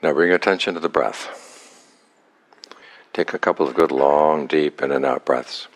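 A man with an exceptionally deep voice guides a meditation. He speaks as a teacher, calm and unhurried, setting out short instructions and leaving long pauses between them.

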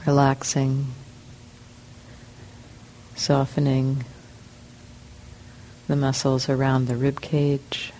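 A woman leads a guided meditation, speaking slowly in short phrases with long pauses. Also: rumbling low, completely flat delivery, warm tone, slight background noise, rough recording. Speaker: meditation teacher. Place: meditation center hall.

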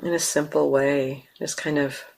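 A woman with an American accent, a meditation teacher leading a guided meditation slowly and softly, with long pauses. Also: low voice, gravelly. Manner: slow, soft, calm, with long pauses. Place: meditation hall.

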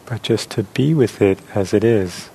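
A man leading a guided meditation as a teacher, speaking gently and with long pauses. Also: fairly low pitch, gravelly, slightly rough, rough recording.

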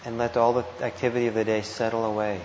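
A man teaching meditation, giving guided instructions with gentle, hesitant phrasing and pauses. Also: medium pitch, level tone. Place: indoor meditation hall. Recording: muffled, roomy live talk with noise.

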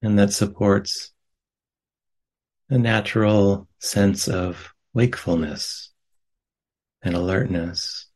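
Male meditation teacher, low register, very slow delivery, gentle phrasing with long silences between short lines. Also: steady tone. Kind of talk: guided meditation. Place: meditation center.

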